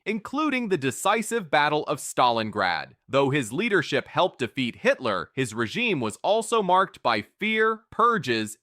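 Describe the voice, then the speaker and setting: A man narrating a history quiz from a script, with an energetic, emphatic delivery. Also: fairly high pitch, no echo, studio quality.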